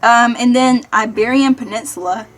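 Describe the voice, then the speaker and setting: A young American woman chatting casually to viewers in an animated, self-deprecating way, like a vlogger. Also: on the high side, moderate pace. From Kentucky, United States. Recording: music behind the voice.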